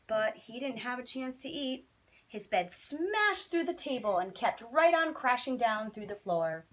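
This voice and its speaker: A woman's voice: a little high, big pitch swings, unhurried. A woman teacher reading a children's bedtime story expressively, with dramatic, emphatic phrasing.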